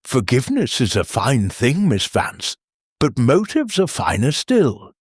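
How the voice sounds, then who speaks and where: Elderly village lady detective, played by a man, calm, cutting, slightly husky, audiobook mystery. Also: medium pitch, unhurried, no echo, clean studio sound, full character voice. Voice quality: lilting. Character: elderly village spinster sleuth, placid, shrewd, relentless.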